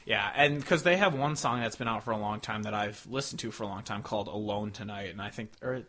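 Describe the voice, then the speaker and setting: A man's voice: fairly low pitch, quick pace, a bit bright. A man co-hosting a podcast with casual music review chat, talking in an informal, joking way with some swearing. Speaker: podcast co-host.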